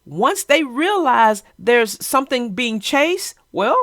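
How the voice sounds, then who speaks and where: Female kids' show host: fairly high, very expressive delivery, folksy and conversational. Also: slow pace, dry recording, studio quality. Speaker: host of a children's read-aloud show. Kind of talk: casual chat about a picture book.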